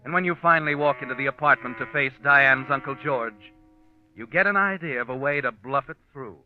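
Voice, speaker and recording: An actor playing an ominous, all-knowing American narrator in 1940s suspense radio, brooding and deliberate, taunting the guilty man. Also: mid-range voice, natural voice. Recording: muffled old radio recording. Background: dramatic music.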